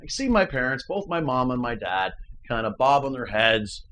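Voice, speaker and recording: A baritone man talks to camera as a musician hosting his own channel, earnest and emphatic. His pitch swings widely as he tells his story, with a faint hum of noise underneath. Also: sing-song, normal speed, fairly bright tone. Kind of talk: casual motivational chat.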